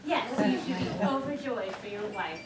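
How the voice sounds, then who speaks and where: A woman's voice: medium pitch, animated, moderate pace, lightly acted. A woman on stage playing a proud, chatty, self-important wife in a comedy, gushing and self-satisfied. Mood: gushing, excited. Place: theater stage, recorded from afar. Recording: echoey theater, distant mic, noisy.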